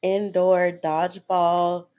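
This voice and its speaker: A woman's voice: lowish voice, flat delivery, takes it slowly, a little mellow, clean tone. A woman, co-hosting a podcast and recounting events in a chatty, giggly way. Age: 30s. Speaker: podcast co-host. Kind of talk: casual chat.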